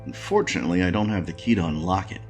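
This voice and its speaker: An actor playing a weary, wry noir handyman on a space station, narrating a point-and-click game in a laconic, folksy way with a gravelly voice. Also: sits low, quick pace, faint music behind. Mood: wry, matter-of-fact.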